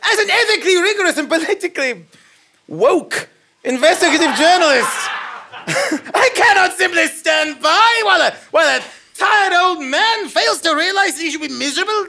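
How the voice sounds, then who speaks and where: A man's voice: very high-pitched, bright, forceful tone, heavily acted. A man playing a self-righteous, melodramatic investigative journalist in a live comedy audio drama, declaiming pompously. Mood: outraged. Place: small theatre stage before a seated audience. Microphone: stage microphone on stand.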